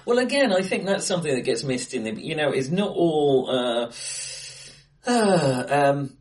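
Podcast co-host: male, animated pitch, casual and searching delivery, recorded in an echoey room. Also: measured pace. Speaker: podcast co-host reviewing films. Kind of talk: casual film discussion. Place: indoors, face to face in a reverberant room. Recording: echoey, acoustically bright room.

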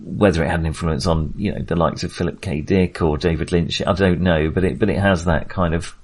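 A man's voice: sits low, steady tone, fairly quick. A man hosting a casual film discussion, talking in a hesitant, rambling and self-deprecating way. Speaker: film podcast host.

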